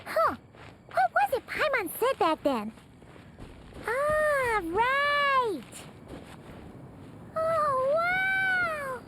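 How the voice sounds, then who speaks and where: A woman voicing a small, excitable, chatty fairy companion in a video game, with a squeaky childlike voice and an exclamatory manner. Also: very slow, long pauses, very noisy, full character voice. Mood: excited, curious. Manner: exclamatory, speaks of herself in third person. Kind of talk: animated video game voice acting.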